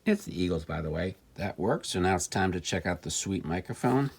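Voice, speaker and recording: A man's voice: low-pitched, lively intonation, brisk, vocal fry. A man talking casually through a hands-on gadget demonstration, musing and thinking aloud. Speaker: vlogger demonstrating and repairing vintage electronics.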